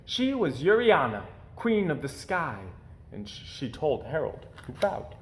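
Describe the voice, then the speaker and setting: Animated higher-voiced man reading a storybook. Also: measured pace. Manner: theatrical emphasis on key words. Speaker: reader of a children's picture book. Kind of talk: expressive read-aloud storytelling.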